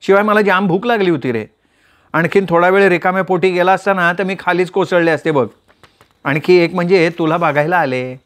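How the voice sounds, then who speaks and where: Male reader doing a high, playful young woman; chatty teaser in a storybook reading. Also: a bit bright. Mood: teasing. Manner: teasing, quick, bantering. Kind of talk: storytelling with voiced characters.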